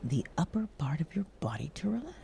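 A woman leads a nighttime guided meditation in a low, mellow voice with a creaky edge. She is breathy and soothing, often close to whispering, and leaves generous pauses between short, gentle instructions. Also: very animated, a little hiss or hum.

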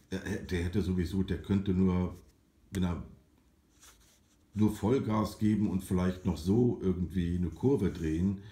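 A man giving an informal, unscripted explanation in halting, drawn-out phrases with frequent tag questions. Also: low-pitched, dark tone. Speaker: amateur explainer of aviation topics. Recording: roomy, echoing home recording.

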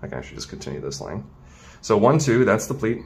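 A man with a fairly low voice walks through a hands-on task at a work table. He speaks informally, thinking aloud as he goes, like an instructor showing a craft. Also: very animated, fast, gravelly, darkish tone. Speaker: tailoring instructor. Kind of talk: casual hands-on demonstration.

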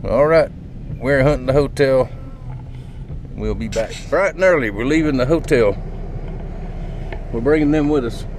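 A man's voice: mid-range voice, moderate pace, pauses often, low-quality recording. A man with a drawl chatting casually from inside a moving car, laughing often as he talks to the camera. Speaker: vlogger. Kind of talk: casual road-trip chat. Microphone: camera mounted on the dashboard. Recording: loud road noise in a car.

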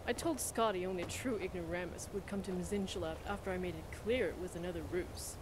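A woman's voice: lowish voice, a little fast, noisy background, close to an everyday voice. A woman playing an arrogant, insulting scholar in a video game, pompous and condescending. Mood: scornful. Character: arrogant, scornful female scholar, insulting rival. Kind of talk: video game character dialogue.

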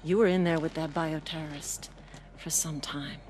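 A woman plays an icy middle-aged commander with a lowish voice. She speaks in a measured, condescending way full of quiet threat, as in a game cutscene, with music behind her. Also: steady tone, lightly acted. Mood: icy menace. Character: cold, menacing female government commander, middle-aged. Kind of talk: video game cutscene acting.

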